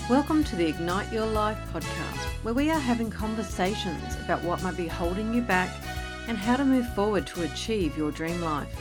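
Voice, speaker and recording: A woman's voice: medium pitch, animated, moderate pace, music in the background. A woman hosting a podcast interview. She reads a scripted intro, then asks chatty questions and often ends them with "isn't it?". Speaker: podcast host.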